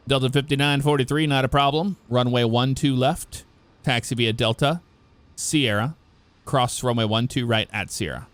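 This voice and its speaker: A man speaks as a ground controller in a flight simulation. He delivers standard air traffic phraseology in short, procedural chunks, with a medium-pitched voice. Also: moderate pace, fairly bright tone, no echo.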